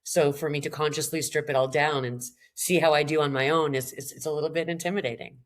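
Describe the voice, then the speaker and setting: A woman's voice: sits low, fairly even intonation, fast. Candid, laughing singer-songwriter woman. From Canada. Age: middle-aged. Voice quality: slightly raspy. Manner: rambling, self-deprecating, frequent laughs and restarts. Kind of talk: candid podcast conversation.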